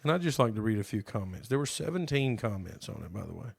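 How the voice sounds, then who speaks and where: A man co-hosting a casual podcast, playful and teasing, his voice a bit hoarse from being sick. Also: lowish voice, very animated, dry recording, studio quality. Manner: playful, teasing, drawn-out reading aloud. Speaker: podcast co-host. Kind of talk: casual chat.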